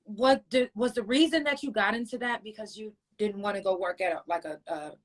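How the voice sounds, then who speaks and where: A woman's voice: mid-range voice, fairly quick. A woman hosting a casual interview podcast, chatting in an affirming, slangy way. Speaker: podcast host.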